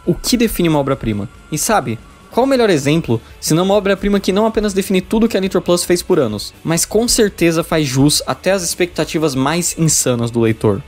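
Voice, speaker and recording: A man's voice: fairly high pitch, lively intonation, a little fast, few pauses, a little mellow. A man with a Brazilian accent narrating a scripted but informal, profane video essay. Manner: casual, profane. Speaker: video essayist reviewing visual novels. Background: background music.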